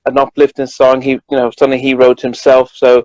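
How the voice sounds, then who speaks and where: A man with a British accent in a casual conversation, halting and repeating words as he finds his point. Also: mid-range voice, monotone, darkish tone, no room sound, poor audio. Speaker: journalist co-host. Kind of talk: casual chat.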